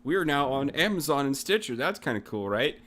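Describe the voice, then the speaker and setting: A man's voice: on the high side, sing-song, brisk, a bit bright. A man hosting a casual podcast chat, rambling and self-deprecating as he moves through topics. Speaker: podcast host.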